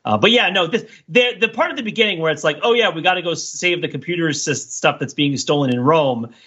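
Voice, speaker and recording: A man hosting a podcast, chatting about movies in an animated, jokey way. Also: fairly high pitch, brisk, breathy edge, dull audio. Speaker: podcast host. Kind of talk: casual movie discussion.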